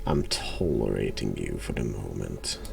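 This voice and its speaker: A man with a gravelly voice giving casual, sarcastic, deadpan commentary on a horror game. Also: extremely low pitch, a little slow, background noise. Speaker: streamer playing a horror game. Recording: music behind the voice.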